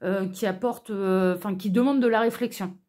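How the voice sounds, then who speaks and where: A woman's voice: medium pitch, steady tone, normal speed, a little mellow. A woman hosting an online tarot reading, talking casually to viewers in a chatty, informal, hesitant way full of asides. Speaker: online tarot reader and channel host.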